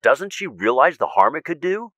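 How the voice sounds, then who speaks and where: An actor playing a cautious, principled weapon inventor in an audio drama, measured and technical, emphatic when he objects. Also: fairly high pitch, very animated, bright, forceful tone, no echo, clean studio sound, full character voice. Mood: worried, frustrated.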